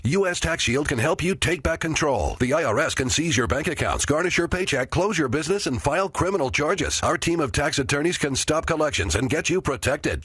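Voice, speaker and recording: A man's voice: on the high side, a bit bright, breathy edge, dry recording, clean studio sound. A man reading a scripted radio commercial as an announcer. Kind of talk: scripted advertisement read.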